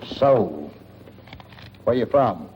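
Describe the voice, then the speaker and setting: Slow-talking, pushy small-town lodger. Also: male voice, fairly low pitch, sing-song, exaggerated voice. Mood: prying. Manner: folksy, slangy, pushy. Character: grumbling, haggling, nosy small-town male lodger. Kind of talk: 1940s radio drama acting. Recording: muffled, echoing old radio recording, faint noise.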